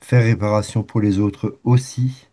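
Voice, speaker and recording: A low, very dark and mellow male voice, stuffy from a head cold. He reads a passage aloud, then shares hesitant thoughts with frequent restarts. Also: steady tone, measured pace. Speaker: participant reader. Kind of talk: casual reflection in a prayer group.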